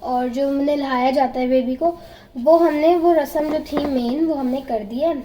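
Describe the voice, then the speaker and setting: A young woman with a high voice that has gone hoarse from a sore throat talks to her followers. She is chatty and relaxed, filming at home. Faint ambient noise sits under her voice. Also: normal speed, low-quality recording. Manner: chatty, talks straight to viewers. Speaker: family vlogger. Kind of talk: casual home vlog to camera.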